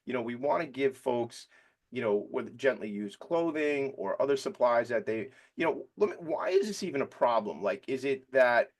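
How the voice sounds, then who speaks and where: Excitable, playful male podcast host. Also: medium pitch. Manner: playful, excitable, interrupts with bursts of enthusiasm. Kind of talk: casual interview chat.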